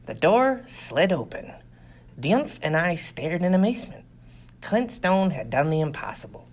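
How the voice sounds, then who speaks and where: A man's voice: high voice, very animated, a little slow. A man reading a first-person science fiction adventure story as scripted audiobook narration. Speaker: audiobook reader.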